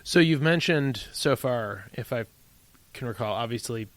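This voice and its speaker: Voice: mid-range voice, lively intonation, unhurried, dry recording. A podcast host asking questions in a casual interview, with many short affirmations.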